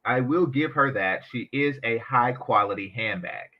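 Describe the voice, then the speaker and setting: A man hosting a reaction commentary, talking in an animated, sarcastic way with mocking asides. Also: mid-range voice, normal speed, dull audio. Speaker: commentary channel host.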